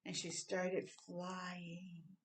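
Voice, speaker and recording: A woman reading a children's story aloud expressively, with animated asides and questions to young listeners. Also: lowish voice, very slow.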